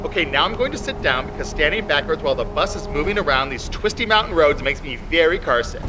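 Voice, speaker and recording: Clear vehicle noise surrounds a man acting as a chipper tour guide. His voice is high and swoops as he announces with hapless, sing-song showmanship in a comedy audio drama. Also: expressive, fast, natural voice. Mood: explaining, hapless.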